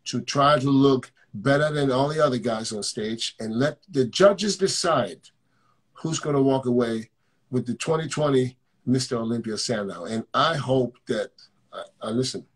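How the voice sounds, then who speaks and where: Blunt, husky man on a video call. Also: mid-range voice. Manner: blunt, joking, repeats words when talking fast. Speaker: coach and live stream host. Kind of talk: casual live stream chat with callers. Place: hotel room bed.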